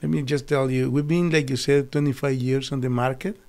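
A middle-aged man from El Salvador with a Spanish accent, telling his story as an interview guest in halting, earnest English in a small studio on a desk mic. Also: mid-range voice, steady tone, darkish tone. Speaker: guest, naturopathic doctor. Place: small studio with foam wall panels. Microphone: desk podcast mic.

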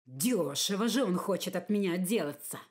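A woman's voice: on the low side, animated, moderate pace, close to an everyday voice. Woman reading as a bold, hot-tempered young man. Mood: scornful. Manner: emphatic, declamatory. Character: bold, hot-tempered youth fed up with bad dreams. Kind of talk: storybook character reading.

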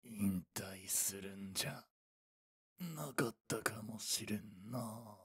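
Voice drama role: cryptic elderly man, acted by a man, very husky and breathy, dragging his words. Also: lowish voice, fairly bright tone, full character voice. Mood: cryptic, knowing. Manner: slow, grave, old-man phrasing. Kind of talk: Japanese voice drama acting.

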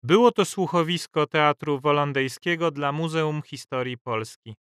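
A man's voice: fairly high pitch, normal speed, a bit bright, clear, resonant tone. A man reading scripted credits as an announcer.

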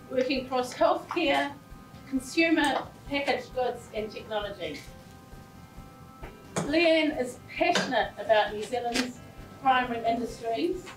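A woman's voice: on the high side, reverberant, far from the mic, low-quality recording. Woman introducing a speaker over music. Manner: formal, read-out phrasing. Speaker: event introducer.

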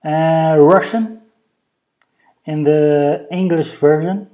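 A native Brazilian Portuguese speaker with a Brazilian Portuguese accent, speaking hesitant English with many pauses in a casual show-and-tell vlog recorded at home on a handheld camera. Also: medium pitch, fairly even intonation, muffled recording. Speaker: vlogger. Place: indoors at home, over a bed.

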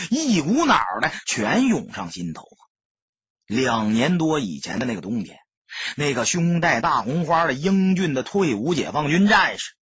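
Very husky male voice, dramatic audiobook narration, emphatic delivery, dry close sound, slightly dull lo-fi recording. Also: on the high side, no echo. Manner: expressive, emphatic storytelling delivery. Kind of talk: dramatic scripted narration in storyteller manner.

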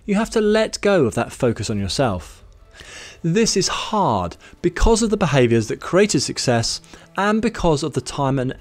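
A British man in his thirties, a management trainer, speaking to camera in a studio in a measured, instructive way and taking the points in order. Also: mid-range voice, a little mellow. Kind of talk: scripted presenter talk to camera. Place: studio with plain grey backdrop. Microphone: studio mic on boom arm in front.

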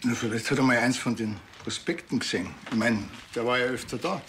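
An actor playing a dry, sardonic village policeman with a Bavarian accent and a laconic, deadpan delivery, in a TV crime comedy. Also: medium pitch, a little fast, a little hiss or hum, natural voice. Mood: probing. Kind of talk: naturalistic TV crime comedy acting.

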